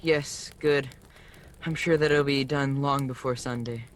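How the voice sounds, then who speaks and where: A man plays a gentle, grieving father in a radio play. He speaks kindly and haltingly, keeping a brave face, over faint noise on a home call recording. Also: medium pitch, fairly even intonation, close to an everyday voice. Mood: subdued, kindly.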